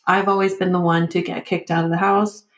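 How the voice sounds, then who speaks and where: A woman whose first language is Vietnamese, talking candidly and reflectively in an interview, halting and often saying "like" and "you know". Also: lowish voice, level tone, roomy sound. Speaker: podcast guest.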